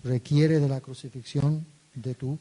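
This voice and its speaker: Mellow-voiced male church interpreter. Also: mid-range voice, measured pace, low-quality recording. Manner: steady, follows the preacher phrase by phrase. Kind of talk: live sermon interpretation into Spanish.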